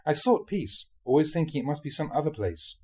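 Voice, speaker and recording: A man reading a spiritual talk aloud in a measured, explanatory way, often posing rhetorical questions. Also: fairly high pitch, expressive, dark tone, husky. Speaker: monk reading dharma talks aloud.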